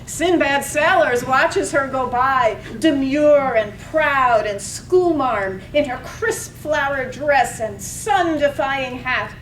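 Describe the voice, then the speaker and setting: A woman's voice: slow, few pauses, a bit bright. A woman narrating a poetic radio drama in a dramatic reading. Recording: roomy, distant microphone, faint rumbly noise.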